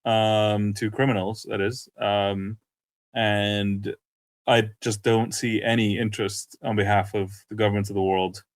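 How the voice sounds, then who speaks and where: A man with a low voice talks casually as a podcast co-host. He speaks haltingly, circling back over phrases as he thinks, in a dry, close-sounding recording. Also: dry recording. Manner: halting, searching for words with repeated phrases. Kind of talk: casual, unscripted discussion.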